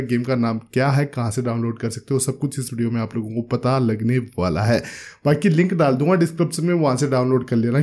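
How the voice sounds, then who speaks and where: A man's voice: medium pitch, darkish tone. A man, giving casual, chatty gameplay commentary in a joking way, close on a desk microphone. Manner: chatty, joking, addresses viewers as bro. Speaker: gaming video host. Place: small indoor studio setup. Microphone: desk microphone up close, with headset.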